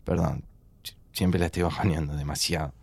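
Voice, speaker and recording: An actor playing an organized, sceptical but caring young best friend with an Argentine accent, speaking informally with dry teasing in an audio drama. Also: male voice, extremely low pitch, fairly even intonation, brisk, gravelly, darkish tone, slightly rough, dry recording, heavily acted. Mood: downcast. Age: young adult. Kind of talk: naturalistic audio fiction dialogue.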